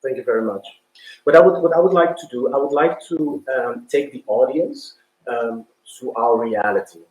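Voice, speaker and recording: A man hosting a formal webinar panel, speaking in courteous, measured phrases. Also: mid-range voice, a little mellow. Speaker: panel host. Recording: echoey room.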